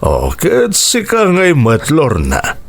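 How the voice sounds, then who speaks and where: A man with a Filipino accent acting a gentle, concerned elderly father in a radio drama, with drawn-out emotive phrasing. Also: fairly high pitch, huge pitch swings, vocal fry, heavily acted. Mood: fond.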